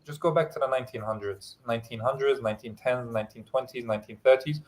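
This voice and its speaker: Male host whose first language is Pashto, mid-pitched, relaxed and filler-heavy, casual livestream chat. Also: quick pace. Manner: easygoing, many hesitant fillers.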